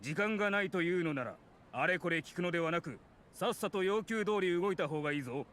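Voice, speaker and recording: A man's voice: high-pitched, expressive, racing, a bit bright, slight background noise. A man acting a cold, domineering official in a Japanese anime game, curt and condescending.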